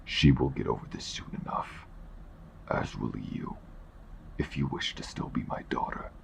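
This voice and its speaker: Man acting as a ruthless aging king, rock-bottom bass, creaky gravel, imperious formal speech, audio drama, faint noise behind. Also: unhurried, exaggerated voice. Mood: stern, unyielding. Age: older. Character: bitter older king, cold ruthless father.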